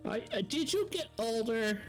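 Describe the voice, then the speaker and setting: A woman's voice: a little high, lively intonation, normal speed, soft background music, voice pushed up, lightly acted, rough recording. Man putting on a sweet old dwarf shopkeeper woman. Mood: fond. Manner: sweet, doting.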